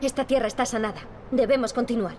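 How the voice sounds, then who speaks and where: An actress playing a solemn, sharp-tongued young heroine in a video game. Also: female voice, on the high side, fast, a little hiss or hum, natural voice. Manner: solemn, dry. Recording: game music behind the voice. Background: game music.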